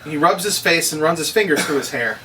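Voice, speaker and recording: A man's voice: a little high, lively intonation, fairly quick, bright, forceful tone. A man reading stage directions as scripted narration at a drama table read. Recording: distant microphone, noisy room.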